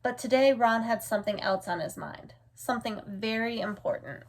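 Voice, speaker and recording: A woman reading a children's picture book aloud as a storyteller, in an expressive, deliberate way. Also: a little high, slight background noise, poor audio.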